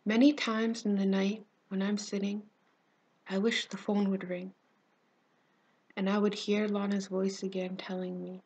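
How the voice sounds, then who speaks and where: Breathy, slow-speaking grieving teenage girl acted by a woman. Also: medium pitch, flat delivery, low-quality recording. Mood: mournful. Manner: slow, halting, emotive, swelling from hush to intensity. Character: grieving teenage Gazan girl recounting war, tender and haunted. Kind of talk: dramatic monologue recital.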